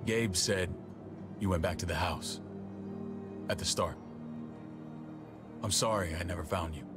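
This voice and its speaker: Gruff, deep-voiced military security chief. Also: male voice, a little slow, music in the background. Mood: earnest, regretful. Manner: blunt, profane, clipped orders. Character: gruff, commanding military man, hardened and protective older brother. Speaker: security chief of a survivor settlement. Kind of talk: cinematic video game acting.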